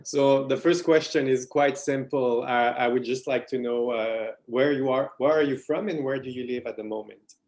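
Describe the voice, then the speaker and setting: A man's voice: medium pitch, fairly even intonation, normal speed, hardly any pauses, a little mellow. A man interviewing a guest over a video call, hesitant and full of filler sounds.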